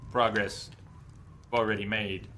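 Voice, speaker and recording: Bright-voiced man giving casual game commentary. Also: on the low side, little pitch movement, measured pace, slight background noise. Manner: thinking aloud, deliberating. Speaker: game streamer. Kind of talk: casual live playthrough commentary. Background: game music.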